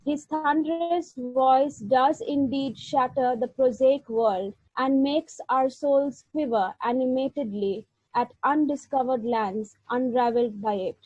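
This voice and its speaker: A woman giving an illustrated lecture, reading verse aloud and narrating in a measured, deliberate way with occasional slips. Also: sits high, a little mellow, no echo. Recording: dull, lo-fi sound.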